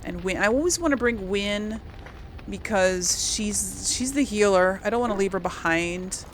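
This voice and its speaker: A woman's voice: mid-range voice, unhurried, a little hiss or hum. A woman giving casual, chatty video game commentary, thinking aloud as she plays.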